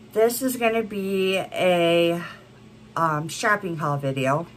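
A woman's voice: lowish voice, slight background noise. A woman in her thirties chatting to camera as a beauty vlogger, congested from a cold, rambling with many fillers. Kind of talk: casual chat to camera. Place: home room with a plant wall and neon signs.

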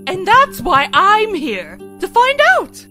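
A woman playing a manic, obsessively curious military scientist in an audio drama, with an excitable, theatrical delivery. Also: extremely high pitch, slow pace, heavily acted. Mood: manic, thrilled. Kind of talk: amateur audio drama acting. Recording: dramatic music under the voice.

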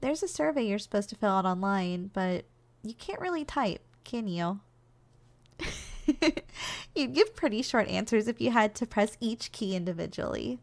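A woman playing a doting, gentle giantess secretary in an ASMR roleplay, speaking in a caring, softly teasing, slightly hesitant way. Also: mid-range voice, lively intonation, measured pace. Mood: reassuring, businesslike.

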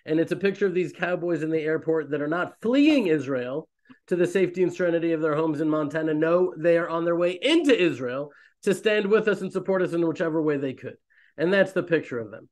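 A man's voice: fairly high pitch, brisk. Rambling man talking to a webcam. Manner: rambling, animated, self-interrupting. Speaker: rabbi and fellowship host. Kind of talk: casual online talk to a community. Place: small indoor room. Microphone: webcam on a video call.

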